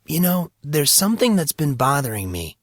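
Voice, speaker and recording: A man's voice: fairly high pitch, big pitch swings, normal speed, no echo, clean studio sound, voice pushed up. A man voicing an earnest, wry young rich man in a narrated fantasy story. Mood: curious.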